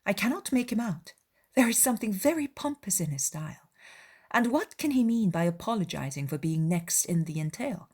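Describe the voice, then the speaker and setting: A thoughtful young gentlewoman voiced by a woman reader in a dramatized classic novel. She sounds polite and questioning. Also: medium pitch, animated, normal speed, breathy edge. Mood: puzzled.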